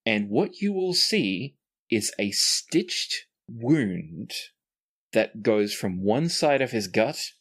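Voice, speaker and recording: A man with a fairly low voice acts as game master of a tabletop fantasy game. He narrates scenes in a descriptive storytelling way and explains the rules as he goes. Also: sing-song, takes it slowly, a bit bright, breathy edge.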